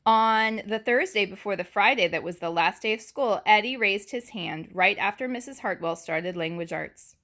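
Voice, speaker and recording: A woman reading a picture book aloud to young children in an animated teacherly way, pausing to ask questions and chat about the story. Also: medium pitch. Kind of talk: expressive read-aloud storytelling with asides and questions.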